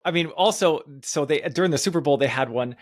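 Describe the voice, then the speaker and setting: A man's voice: fairly high pitch, fairly quick, no echo, studio quality. A man hosting a podcast, chatting casually in an emphatic, enthusiastic way. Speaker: podcast host.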